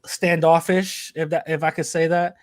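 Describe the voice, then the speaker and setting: A man's voice: fairly high pitch, level tone, moderate pace, dry recording, clean studio sound. A young man, chatting casually as a podcast guest, joking and rambling with many fillers. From New York City, USA. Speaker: podcast host, interviewee. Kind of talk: casual interview chat.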